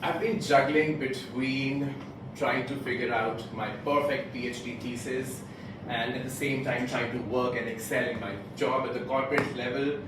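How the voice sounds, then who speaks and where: A man gives a motivational speech in a deliberate, rhetorical way, pausing between short phrases. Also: mid-range voice, steady tone. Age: late twenties. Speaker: club speaker giving a prepared speech. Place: indoor club meeting room, picked up from a distance. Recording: very echoey room, distant mic, background noise.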